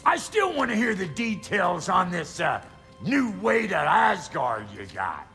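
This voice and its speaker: High, gravelly, irascible dwarf blacksmith. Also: male voice, big pitch swings, measured pace, bright, forceful tone, faint music behind, heavily acted. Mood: suspicious, irritated. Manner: blunt, folksy, irascible. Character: gruff, foul-mouthed, suspicious little dwarf blacksmith. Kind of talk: video game cutscene acting.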